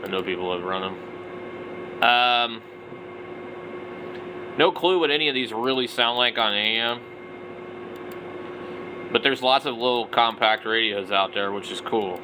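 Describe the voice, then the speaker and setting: A man's voice: medium pitch, unhurried, pauses often, background noise. A middle-aged man giving casual, unscripted commentary as a hobby vlogger, blunt and opinionated, with some slangy wording.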